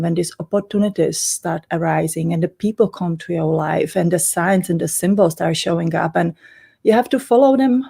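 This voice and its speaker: Woman, middle-aged, Czech accent, lowish pitch, very mellow timbre, casual conversational explaining, rambling guest. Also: vocal fry, husky. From Czech Republic. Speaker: interview guest, energy healer.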